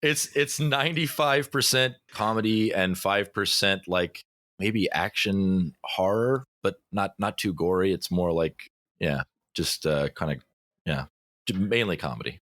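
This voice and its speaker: Very deep-voiced halting man. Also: fairly bright tone, no echo, studio quality. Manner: halting, many fillers and restarts. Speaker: game master, podcast guest. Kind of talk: casual podcast roundtable.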